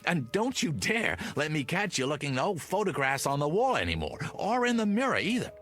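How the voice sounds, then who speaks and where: A loyal Hollywood agent who coaxes and placates with very animated, swooping inflection. It sounds like vintage television drama, with some music underneath. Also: male voice, a little high, fairly quick. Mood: urging, upbeat. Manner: emphatic, cajoling, placating. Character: loyal, coaxing male Hollywood talent agent. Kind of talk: mid-century television drama acting.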